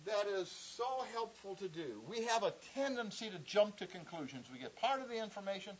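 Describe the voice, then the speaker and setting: A man's voice: high voice, expressive, fairly quick, slight background noise. A man teaching an informal Bible class in a classroom, talking conversationally with chatty asides to his students. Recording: dull lo-fi recording.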